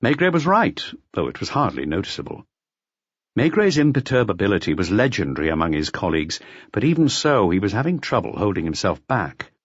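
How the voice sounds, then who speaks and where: Low, husky male audiobook narrator, expressive. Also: fairly quick, dry recording, lo-fi sound. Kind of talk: scripted narration of a detective novel.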